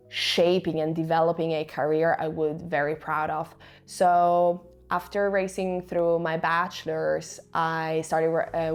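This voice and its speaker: Reflective young woman, Italian first language. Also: on the low side. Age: 20s. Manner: reflective, with hesitant fillers. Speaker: author and vlogger. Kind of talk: personal storytelling to camera.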